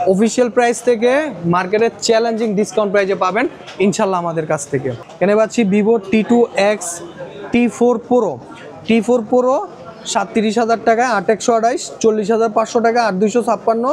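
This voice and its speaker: A man with a Bangladeshi accent pitching phones to viewers in a shop, rattling off models and prices and repeating them. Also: darkish tone. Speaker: phone shop seller presenting stock and prices. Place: electronics shop in a busy shopping complex. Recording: busy shopping mall crowd behind voice. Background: crowd chatter.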